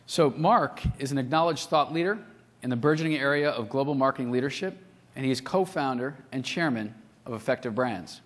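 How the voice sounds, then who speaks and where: A man's voice: medium pitch, fairly quick. Man reading formal speaker introductions. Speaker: event host introducing speakers. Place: conference hall.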